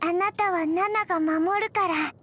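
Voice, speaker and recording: Slow, high-voiced, deadpan undead little girl. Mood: quietly tender. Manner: halting, deadpan, soft-spoken with frequent pauses. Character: small undead zombie girl, gentle, forgetful, emotionless. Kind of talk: scripted video game character voice lines.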